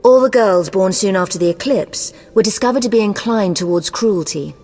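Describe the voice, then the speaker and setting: A woman's voice: medium pitch, moderate pace, darkish tone, close to an everyday voice. A woman playing an imperious, ruthless sorceress in a video game, haughty, formal and commanding. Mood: clinical, detached. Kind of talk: scripted video game dialogue. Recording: long cavernous reverb.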